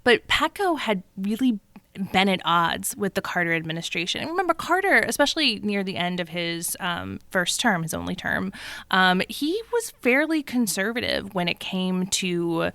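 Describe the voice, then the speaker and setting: A woman's voice: mid-range voice, big pitch swings, moderate pace, pushed and bright, no room sound. A woman co-hosting a history podcast, explaining events at length with frequent ums and checking in with her co-hosts. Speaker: co-host. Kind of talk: conversational history podcast discussion.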